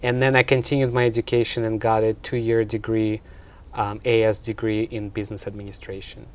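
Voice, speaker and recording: A man's voice: fairly low pitch, level tone, brisk. A man from Russia with a Russian accent, answering interview questions in an explanatory way, as a college instructor. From Russia and Ukraine.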